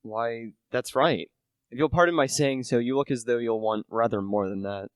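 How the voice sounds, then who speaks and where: A man's voice: medium pitch, moderate pace, clear, resonant tone, no room sound, clean studio sound, natural voice. A teenage student actor playing a humble small-time salesman, hesitant and confiding, in an amateur radio play. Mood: hesitant, deferential. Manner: hesitant, self-effacing, confiding. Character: humble, deferential small-time relish salesman narrating his tale. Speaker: high school drama student.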